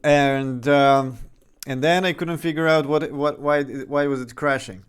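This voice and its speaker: A man's voice: fairly high pitch, steady tone, unhurried, a little hiss or hum. A young man from Serbia with a slight Serbian accent, casually thinking aloud and chuckling as he talks through his game development on a livestream.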